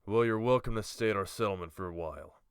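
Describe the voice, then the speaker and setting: A calm guild leader, acted by a male narrator, with a smooth, lowish voice. He is measured, courteous and hospitable, in fantasy audiobook style. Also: no echo, clean studio sound, close to an everyday voice. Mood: calm, welcoming.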